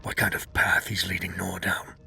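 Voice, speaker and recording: A man playing a wry, world-weary traveler who narrates a game story in a hushed manner. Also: sits low, little pitch movement, moderate pace, vocal fry, music in the background. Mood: suspicious, resolute.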